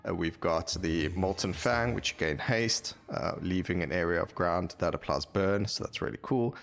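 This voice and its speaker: A man's voice: sits low, normal speed, gravelly, fairly bright tone, soft background music. A man giving casual gameplay commentary as a gaming video host, thinking aloud as he reads out stats on screen.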